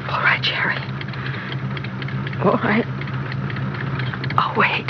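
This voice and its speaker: A woman's voice: takes it slowly, whispered, close to an everyday voice. A woman acting in a classic radio drama as an emotional, earnest woman, with dramatic delivery. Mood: urgent, pleading. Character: emotional woman pleading with a troubled man. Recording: muffled, noisy old radio recording.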